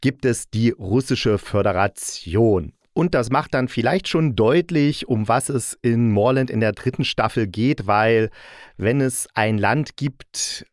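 A man's voice: mid-range voice, slow pace, no echo. A man hosting a chatty review podcast in a jokey, self-ironic manner, close to the microphone. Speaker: podcast host and audio drama critic.

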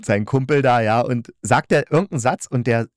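A man's voice: medium pitch, brisk, no room sound, studio quality. A man chatting casually as an interviewer, informal and rambling as he searches for his words. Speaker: podcast interviewer.

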